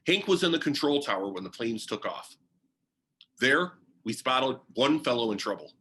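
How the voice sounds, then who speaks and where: A man's voice: mid-range voice, sing-song, moderate pace, sharp tone. A man reading a biography aloud as narration, in the style of a book for young listeners.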